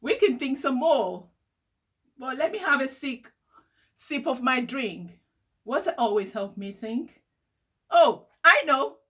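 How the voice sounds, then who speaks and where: A woman's voice: sits high, animated, slow pace, long pauses, bright, forceful tone, breathy edge, full character voice. A woman voicing an encouraging, upbeat older boy cousin in a storybook, reassuring and enthusiastic.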